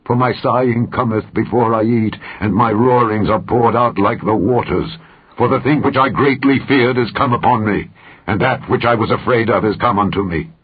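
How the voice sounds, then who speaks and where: A man's voice: mid-range voice, moderate pace, breathy edge, voice pushed up, close to an everyday voice. A man reading the part of an anguished, grieving man lamenting his life in a solemn, declamatory, mournful manner. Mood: pleading, anguished. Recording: tinny, phone-like narrow-band recording.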